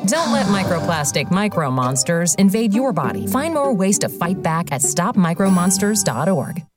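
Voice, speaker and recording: A woman's voice: on the low side, big pitch swings, normal speed, loud music behind the voice. A woman announcer reading a public service message in an earnest, urging way.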